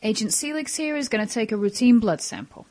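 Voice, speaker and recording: A woman's voice: on the high side, animated, fairly quick, darkish tone, natural voice. A woman playing a composed intelligence agent in an audio drama, dry, clipped and businesslike. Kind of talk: hobby audio drama acting.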